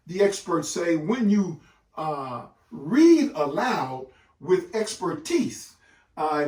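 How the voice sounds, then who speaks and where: In an echoey room, a man presents like a teacher addressing parents. His voice sits fairly high and swings widely in pitch. He leans on key words and sets them apart with deliberate, dramatic pauses. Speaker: literacy educator hosting a read-aloud session for parents. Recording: echoey room, distant microphone.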